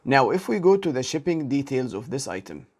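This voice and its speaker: Methodical man explaining a software demo. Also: medium pitch, very animated, brisk. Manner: methodical, explanatory, spells out codes. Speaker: software instructor. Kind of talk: step-by-step screen demo narration.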